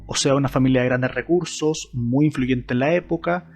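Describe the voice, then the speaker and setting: A man's voice: mid-range voice, normal speed, a little mellow, faint music behind. A man with a Chilean accent, hosting his channel with scripted video essay narration addressed to viewers. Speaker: YouTube channel host.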